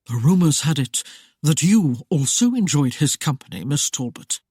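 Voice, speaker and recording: A woman doing a rasping, curt stern lord. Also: low voice, lively intonation, moderate pace, mellow, dry recording, studio quality. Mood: cold. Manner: curt, commanding. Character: stern masked older lord with a cane, harsh.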